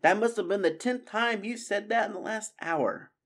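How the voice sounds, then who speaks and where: A man voicing an eager young male mouse hero, with a squeaky way of speaking. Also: moderate pace. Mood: irritated.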